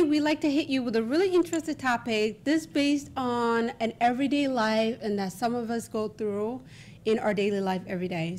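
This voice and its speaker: A woman hosting a talk show in an informal, encouraging, conversational way over a rumbly background. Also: darkish tone, slight background noise.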